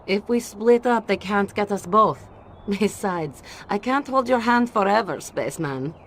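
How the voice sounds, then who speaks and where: A shrewd woman spy from a Cold War video game, voiced by an actress, speaking in a Russian accent at a medium pitch. She is guarded and businesslike, with a wry edge, over faint background noise. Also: expressive, unhurried, natural voice. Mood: brisk, cool. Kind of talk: video game cutscene voice acting.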